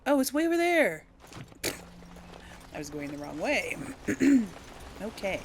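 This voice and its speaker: A woman's voice: a little high, big pitch swings, slow, slight background noise. A woman hosting a casual video game let's play, thinking aloud as she explores, with faint game sound behind her.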